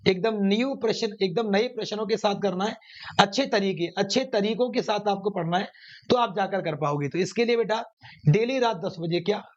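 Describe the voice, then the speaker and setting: A man's voice: sits high, moderate pace. A man lectures students as an exam coach. He drives points home by repeating phrases and posing questions that he answers himself. The recording is somewhat muffled and lo-fi. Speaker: maths teacher running online exam classes. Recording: dull, lo-fi sound.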